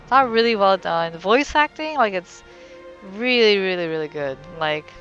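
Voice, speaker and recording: A woman's voice: medium pitch, animated, normal speed, a bit bright. A woman giving casual let's-play commentary as the host of a gaming video. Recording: slightly dull, lo-fi voice track. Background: game music.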